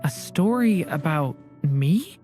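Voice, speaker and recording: A woman voicing an earnest, gentle dragonborn boy in a video game, soft-spoken and wistful. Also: sits low, lively intonation, takes it slowly, music in the background. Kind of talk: video game character acting.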